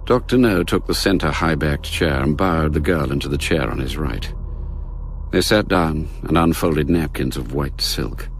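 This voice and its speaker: A man narrating a spy thriller radio drama as a grave storyteller, in a measured, descriptive way. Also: rumbling low, a little hiss or hum.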